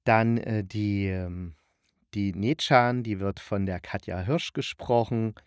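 A man's voice: on the low side, slow pace, smooth, no room sound, clean studio sound. A man hosting a podcast, giving a chatty review while thinking aloud and correcting himself. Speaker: podcast host and radio drama critic. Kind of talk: chatty, loosely scripted review monologue.

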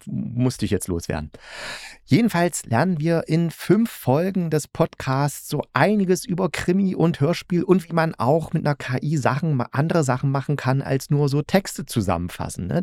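A man's voice: on the high side, expressive, moderate pace, darkish tone, no echo, studio quality. A man hosting a podcast, giving a casual, colloquial and opinionated spoken review. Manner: colloquial, opinionated, with frequent tag questions.

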